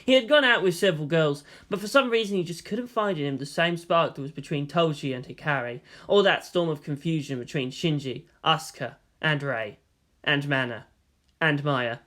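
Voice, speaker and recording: Higher-voiced man reading a story aloud. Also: moderate pace, sharp tone. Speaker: fan fiction audiobook reader. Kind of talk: dramatic scripted narration.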